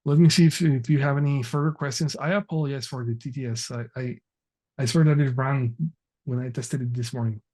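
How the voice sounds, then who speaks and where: A man presenting an online technical workshop over a video call, talking haltingly with many fillers and restarts. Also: mid-range voice, fairly even intonation, dark tone.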